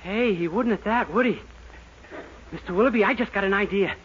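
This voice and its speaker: High, quick-talking eager young schemer. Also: male voice, fairly bright tone. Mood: earnest, hopeful. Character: naive, eager young male shop employee, scheming. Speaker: comedy show lead. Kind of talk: 1940s radio sitcom acting. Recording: dull, noisy 1940s radio recording.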